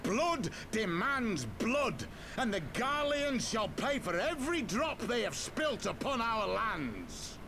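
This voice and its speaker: An actor playing a proud, vengeful rebel leader who rouses followers with grandiose oratory in a game cutscene. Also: male voice, extremely high pitch, big pitch swings, takes it slowly, noisy background, exaggerated voice. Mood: rousing, vengeful. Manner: grandiose, declamatory.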